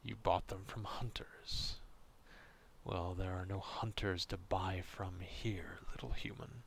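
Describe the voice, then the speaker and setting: Man voicing a slow, gravelly, low werewolf beast. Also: steady tone, slight background noise, full character voice. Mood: exasperated, scornful. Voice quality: low gravelly, breathy half-whisper. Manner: stern, curt, grumbling. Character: gruff, proud, distrustful male werewolf beast, grudgingly caring. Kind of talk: slow ASMR roleplay acting.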